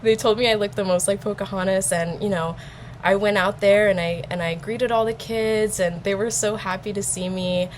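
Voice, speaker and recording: A woman's voice: mid-range voice, steady tone, moderate pace, slight background noise. A young adult woman chatting casually in a nervous, hesitant, rambling way. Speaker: vlogger and interviewee. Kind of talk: casual chat.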